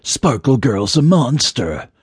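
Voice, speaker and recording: Guy putting on a creepy whispery mystery voice, dragging words out slow, teasing, for a spooky story. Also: medium pitch, very animated, husky, no echo, studio quality, voice pushed up, full character voice. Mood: taunting. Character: mysterious taunting disembodied whispering voice.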